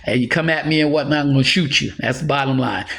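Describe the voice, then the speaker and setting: A man's voice: mid-range voice, quick pace, vocal fry, a bit bright. A man in his sixties giving earnest, rambling life advice in a casual monologue, chuckling now and then. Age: 60s. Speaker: motivational speaker.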